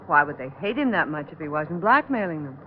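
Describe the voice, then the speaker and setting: Guarded young woman, expressive pitch, vintage radio. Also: brisk. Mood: cold, controlled. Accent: American. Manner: polite, clipped, controlled. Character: guarded young female salon masseuse hiding cold resentment. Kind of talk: 1940s-50s American radio drama acting. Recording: muffled, noisy old radio recording.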